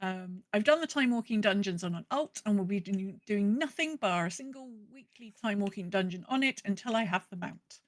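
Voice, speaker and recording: A woman's voice: medium pitch, expressive, fairly quick, few pauses. A woman co-hosting a podcast, chatting casually and reading out listener messages in a conversational way with muttered asides. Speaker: podcast co-host.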